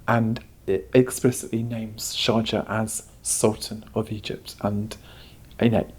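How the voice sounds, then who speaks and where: A man's voice: lowish voice, little pitch movement, unhurried, a little mellow, slightly rough. A man speaking conversationally as a curator being interviewed, explaining history. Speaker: exhibition curator, interview guest.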